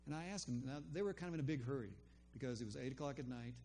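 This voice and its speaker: A man's voice: medium pitch, racing, dark tone, a little hiss or hum. Older man telling a rambling story. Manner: rambling, with frequent ums and restarts. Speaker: storyteller recounting a faith conversation. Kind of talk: casual spoken testimony.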